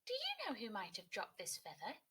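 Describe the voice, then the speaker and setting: A woman voicing a curious, excitable female kingfisher in a children's storybook, with eager, exclaiming questions. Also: medium pitch, fairly quick, breathy edge, heavily acted.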